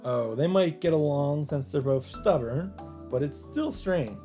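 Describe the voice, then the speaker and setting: A man's voice: fairly high pitch, expressive, measured pace, warm tone. Casual male let's player over game music. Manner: reacts with asides to the story. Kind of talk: casual commentary reading a visual novel aloud. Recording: music behind the voice.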